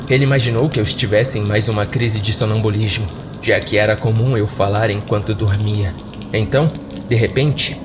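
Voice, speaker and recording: Low-voiced Brazilian man narrating horror over music. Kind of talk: scripted horror story narration. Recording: loud background music.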